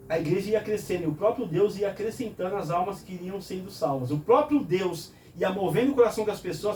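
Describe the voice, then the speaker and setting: A man speaks earnestly in a fairly high voice, poses question after question, and answers each one himself. The room is echoey and he sits back from the mic. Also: darkish tone, a little hiss or hum. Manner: earnest, explains with rhetorical questions. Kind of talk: conversational preaching in a talk show. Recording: roomy echo, from a distance.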